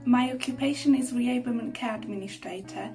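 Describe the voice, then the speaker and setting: A woman's voice: fairly high pitch, fairly quick. A woman in her twenties from Poland giving a prepared self-introduction as a contestant. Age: 20s. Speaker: pageant contestant. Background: music with plucked guitar.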